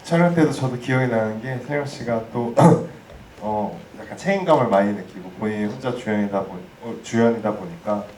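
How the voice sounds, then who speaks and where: A man's voice: lowish voice, moderate pace, a little mellow. A man moderating a post-screening audience talk, speaking casually and hesitantly with many restarts. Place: cinema hall. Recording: roomy, distant mic, faint noise.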